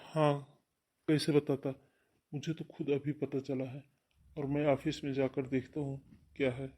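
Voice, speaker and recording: A man's voice: medium pitch, level tone, a little slow, mellow, lightly acted. A man playing a caring, busy elder brother and husband in a Hindi cartoon moral story. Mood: calm, reassuring.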